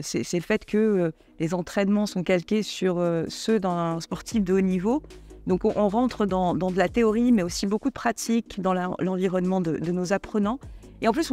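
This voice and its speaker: A woman's voice: mid-range voice, mellow. A woman, a training center director, speaking enthusiastically with many restarts in an interview.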